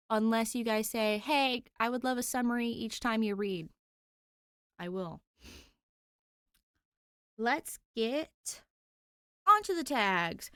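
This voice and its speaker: A woman's voice: fairly high pitch, a bit bright, clean tone, dry recording. A woman hosting and narrating a fan fiction reading, close to the microphone. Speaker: host and reader of a fan fiction podcast. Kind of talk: audiobook-style story narration with chatty host intro.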